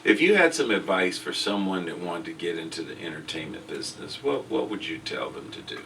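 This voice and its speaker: Deep gravelly man hosting interview. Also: big pitch swings, a bit bright. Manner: upbeat, enthusiastic, halting questions. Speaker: interview and podcast host. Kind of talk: casual interview. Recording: distant mic with faint background noise.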